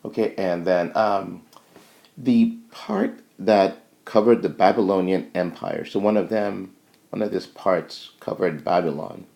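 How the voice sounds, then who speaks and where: A man lecturing informally in a halting, think-aloud way, often checking in with short tags as he explains. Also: deep voice, gravelly, mellow.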